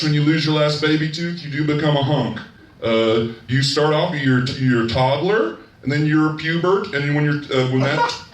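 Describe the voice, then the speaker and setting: A man's voice: fairly high pitch, pitched above the performer's own voice, close to an everyday voice. A man voicing an awkward male stand-up comic who stammers and hesitates on stage. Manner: hesitant, stammering, nervous laughs. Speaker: road comic. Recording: roomy echo from a distance, noisy.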